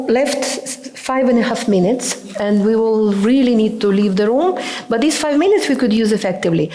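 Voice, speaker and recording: A woman moderating a conference panel, briskly keeping time and pushing for quick questions. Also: high-pitched, darkish tone. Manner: brisk, keeps time, lightly joking. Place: conference room. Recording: echoey conference room.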